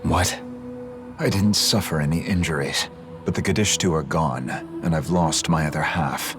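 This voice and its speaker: A very low-voiced, brooding middle-aged man in a video game. He speaks solemnly and deliberately, cold and resolute, with clearly audible music behind him. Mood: weary resignation. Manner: solemn, measured, dramatic. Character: brooding, severe older male ideologue, cold and resolute.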